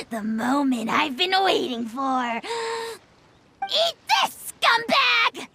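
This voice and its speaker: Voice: high-pitched, sing-song, extremely slow, heavy background noise, exaggerated voice. An actor voicing a bratty, arrogant little dragon creature in a video game, boastful and taunting. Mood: gleeful, vicious. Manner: boastful, taunting, theatrical shouting. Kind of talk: video game cutscene voice acting. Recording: loud music over the voice.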